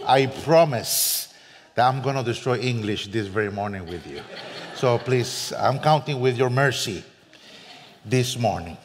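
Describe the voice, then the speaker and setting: A man from Costa Rica with a Costa Rican Spanish accent, a native Spanish speaker, preaching as a guest with earnest, self-deprecating warmth and frequent restarts. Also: medium pitch, measured pace, a little hiss or hum. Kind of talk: sermon. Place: church sanctuary. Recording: long church reverb.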